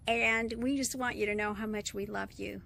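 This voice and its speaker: A woman's voice: mid-range voice, fairly even intonation, normal speed, vocal fry, fairly bright tone. A woman sending a bubbly, singsong birthday message. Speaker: well-wisher.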